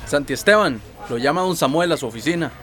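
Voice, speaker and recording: A man's voice: on the high side, animated, fast talker, fairly bright tone, a little hiss or hum. A man with a Costa Rican accent playing a frank, teasing, supportive office colleague in a radio soap opera.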